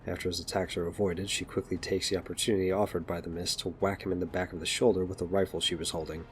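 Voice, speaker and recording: A man's voice: low voice, flat delivery, fast talker, music in the background. A man narrating a scripted audio drama, with ambient noise behind him.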